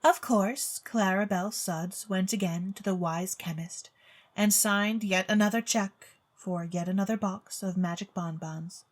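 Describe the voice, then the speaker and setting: Woman reading a storybook out loud, expressive narrator, medium pitched voice. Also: unhurried.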